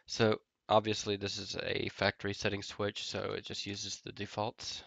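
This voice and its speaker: A man's voice: lowish voice, normal speed, creaky, dry recording. A man with a head cold and a nasal, stuffy voice, casually explaining a tech tutorial with lots of ums while he types commands. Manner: relaxed, filler-heavy explaining while typing commands. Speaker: network engineer tutorial host. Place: home office.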